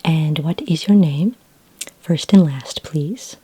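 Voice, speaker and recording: A woman speaking in a soft, breathy whisper as a friendly, patient librarian in a gentle ASMR roleplay. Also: low-pitched, dry recording. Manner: gentle, unhurried, helpful customer-service patter. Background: computer keyboard typing.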